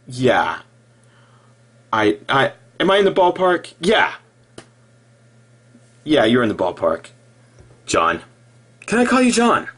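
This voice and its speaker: A man delivering an improvised sarcastic rant in an exasperated, mocking way, halting and repeating himself, with profanity. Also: mid-range voice, huge pitch swings, fairly bright tone, slightly rough. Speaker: video commentator.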